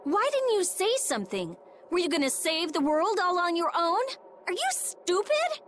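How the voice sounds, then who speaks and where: An actress playing a blunt, excitable, hot-tempered young girl in a video game, with sharp, questioning delivery. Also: very high voice, moderate pace, slight background noise, full character voice. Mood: indignant. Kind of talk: scripted video game acting.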